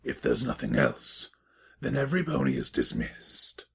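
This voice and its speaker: A man playing a sly, sadistic pony king in a fan fiction audio drama, slow and menacing with a gravelly rasp. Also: extremely low pitch, huge pitch swings, heavily acted. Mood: smug, dismissive. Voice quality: gravelly, raspy.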